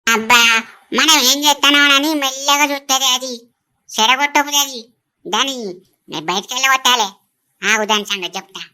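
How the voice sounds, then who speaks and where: A woman's voice: high voice, fairly quick, sharp tone. A woman with a Telangana accent acting an emotional, blunt and remorseful elder sister in a rural family drama, speaking plaintively with many exclamations. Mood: scornful.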